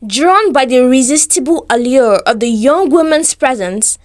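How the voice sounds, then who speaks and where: A woman's voice: high-pitched, animated, moderate pace. A woman storyteller reading a scripted romantic tale in a formal, descriptive, careful manner.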